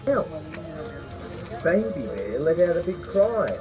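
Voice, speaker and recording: Low-voiced woman storyteller over loud music. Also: takes it slowly. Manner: expressive, dramatic storytelling. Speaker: storyteller in a recorded fairytale at a children's attraction. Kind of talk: scripted children's storybook narration.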